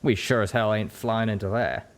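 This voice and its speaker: A man's voice: lowish voice, fairly even intonation, moderate pace, close to an everyday voice. A man voicing a folksy, wry male flying pony companion in an audiobook, with a drawling country dialect. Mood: wary. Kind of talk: audiobook character voice.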